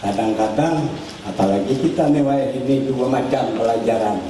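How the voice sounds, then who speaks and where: An older man telling a life story at a religious gathering in an unhurried, folksy way with asides. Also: mid-range voice. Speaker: cleric telling his teacher's life story. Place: large hall at a religious gathering. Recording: echoey, distant mic, noisy hall.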